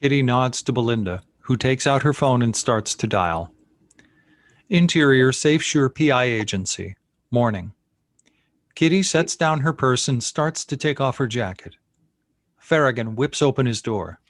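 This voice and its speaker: Male narrator, mid-pitched, scripted screenplay reading, dry recording. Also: expressive, unhurried, no room sound. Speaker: stage directions narrator.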